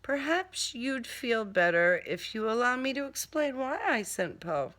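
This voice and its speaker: A woman voicing a suave male serial killer with courteous, formal, unhurried speech, in a crime story reading. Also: fairly high pitch, sing-song, a bit bright, pitched above the performer's own voice. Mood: calm, explaining.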